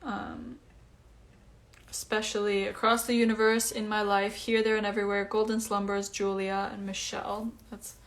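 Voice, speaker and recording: A young woman chats at home into her phone, reading out and answering viewers' comments. Her talk is loose and breezy, full of um's and like's, and her pitch sits right in the middle for a woman. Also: level tone, moderate pace. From Iceland. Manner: chatty and breezy, with many fillers. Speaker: singer hosting a livestream. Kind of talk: casual livestream chat answering comments. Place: at home, indoors.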